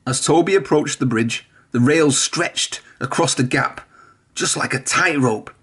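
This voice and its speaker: A man's voice: mid-range voice, unhurried, fairly bright tone, breathy edge. A man reading a children's storybook aloud, narrating expressively. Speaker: storybook reader.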